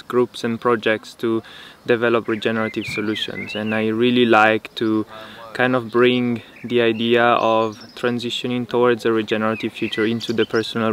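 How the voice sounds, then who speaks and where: A young man talks calmly about his work, his voice sitting at a middle pitch. He is recorded outdoors in a dry rural field, where the countryside birds and insects come through clearly behind him. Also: a little hiss or hum. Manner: relaxed, thoughtful, with frequent fillers. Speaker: permaculture teacher. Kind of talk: casual interview talk.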